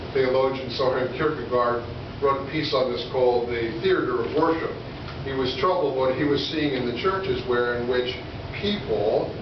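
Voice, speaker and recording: Older guy preaching in a really echoey church, measured phrases, lots of pauses, muffled noisy recording. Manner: deliberate phrasing with pauses and rhetorical questions. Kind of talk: sermon. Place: church sanctuary or hall, indoors at a lectern. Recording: echoey room, distant mic, dull, noisy rumble.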